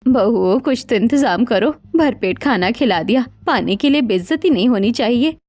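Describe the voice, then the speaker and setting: A woman's voice: a little high, expressive, moderate pace, darkish tone, voice pushed down. A woman voicing an older, poor mother-in-law in a cartoon, with a firm, placating manner. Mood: anxious, pleading.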